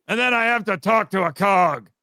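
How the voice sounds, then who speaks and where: High, bright-voiced man with teasing banter. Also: moderate pace, creaky, dry recording. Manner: teasing, mock-condescending. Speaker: podcast guest, fan audio drama writer and voice actor. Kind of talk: casual banter explaining trivia.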